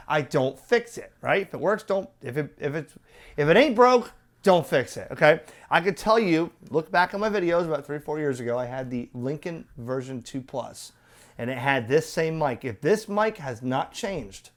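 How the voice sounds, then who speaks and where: A man with a slightly high-set voice walks through a product hands-on. His delivery is casual and unscripted, like chatting with a viewer. He is opinionated and punctuates his points with rhetorical questions and quick check-in tags. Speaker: radio reviewer presenting a product demo. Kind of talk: casual off-the-cuff hands-on demo talk.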